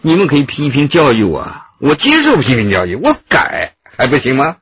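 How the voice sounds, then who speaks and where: An actor playing a glib, slippery art dealer under questioning, husky, wordy and wheedling, in a radio drama. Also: male voice, medium pitch, wildly swooping pitch, brisk, fairly bright tone, dull audio, a little hiss or hum. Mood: pleading innocence. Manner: wordy, wheedling, self-justifying. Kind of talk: Chinese radio drama acting.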